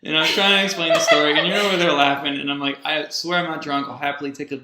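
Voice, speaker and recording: A man co-hosting a podcast, telling stories casually in an animated, jokey, sometimes profane way. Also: fairly high pitch, fast, noisy background. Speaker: podcast co-host. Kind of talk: casual conversational storytelling. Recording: roomy echo.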